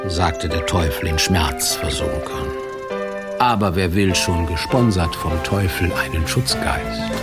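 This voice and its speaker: An actor playing a wry, atmospheric storyteller, narrating a children's radio play. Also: male voice, low-pitched, measured pace, over loud music.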